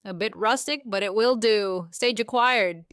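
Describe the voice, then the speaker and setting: A woman's voice: mid-range voice, measured pace, fairly bright tone, clear, resonant tone, no echo, clean studio sound, voice pushed up, lightly acted. A woman voicing a bossy, theatrical female cat in a children's story, with grand, dramatic exclamations. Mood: bossy, critical.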